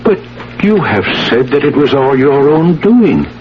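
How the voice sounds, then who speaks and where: An actor playing a bewildered priest in a radio drama, gravely and haltingly questioning. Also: male voice, medium pitch, sing-song. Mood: puzzled. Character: troubled, bewildered priest hearing a confession. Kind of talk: 1970s radio drama acting. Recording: muffled old radio recording, faint hum.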